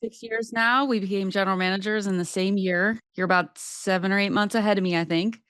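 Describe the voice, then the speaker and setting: A woman's voice: mid-range voice, fairly even intonation, brisk, fairly bright tone, clear, resonant tone, dry recording. A woman hosting a podcast interview in a friendly, joking way. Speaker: podcast host, water agency general manager.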